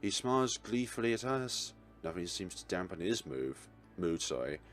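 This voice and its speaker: A man's voice: low voice, very animated, normal speed, soft background music. A man reading a visual novel aloud as a let's player, now and then stumbling over words and correcting himself.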